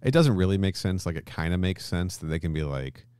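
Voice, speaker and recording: Very deep-voiced man, casual podcast talk. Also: lively intonation, a little fast, darkish tone, clear, resonant tone, no echo, clean studio sound. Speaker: podcast co-host. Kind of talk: casual discussion.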